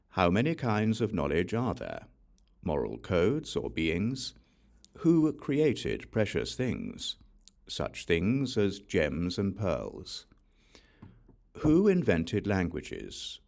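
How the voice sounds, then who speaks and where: A man reading a scripture audiobook, reciting verse in a measured, deliberate and solemn manner. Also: sits low, gravelly, darkish tone. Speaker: audiobook reader.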